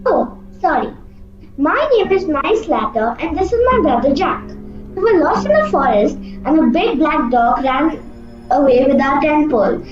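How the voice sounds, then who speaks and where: A woman's voice: sits high, expressive, moderate pace. A woman playing a polite, nervous young girl in a radio play, earnest in her delivery. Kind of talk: amateur radio play acting. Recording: echoey, dull audio with music. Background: music.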